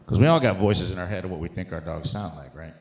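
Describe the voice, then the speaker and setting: A man performing a profane stand-up set, telling animated stories with acted-out bits. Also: sits low, fast talker. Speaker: stand-up comedian, special guest. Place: live show on a stage before an audience. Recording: roomy live stage sound.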